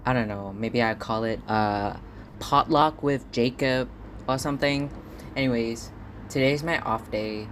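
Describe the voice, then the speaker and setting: A man's voice: medium pitch, fairly bright tone, a little hiss or hum. A young man from Singapore, talking alone in a casual podcast diary at home, ranting and swearing with stammered repeats. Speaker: podcast host.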